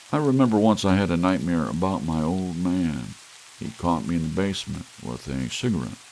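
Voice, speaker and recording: A man reads an earnest man who leans in hard on his friend, pressing question after question in a low, gravelly voice. He speaks slowly and lets each point land. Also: darkish tone, slight background noise, rough recording.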